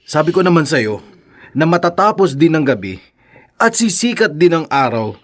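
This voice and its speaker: An actor playing a loyal, encouraging friend of a prisoner, earnest and reassuring, in a radio drama. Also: male voice, on the high side, very animated, moderate pace. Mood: encouraging, urgent.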